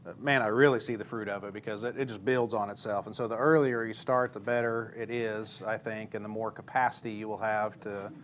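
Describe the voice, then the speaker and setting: A man in his forties, a guest in an interview, talking in a relaxed, joking way with many fillers. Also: fairly low pitch, brisk, few pauses, slight background noise. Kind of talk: casual interview answers.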